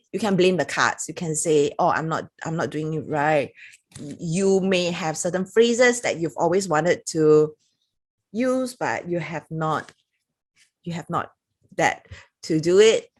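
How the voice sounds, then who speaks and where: Singaporean-accented woman, lower register, relaxed talky reviewer. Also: measured pace. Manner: chatty, reads passages aloud with little laughs. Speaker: product reviewer. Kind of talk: casual talk-to-camera review.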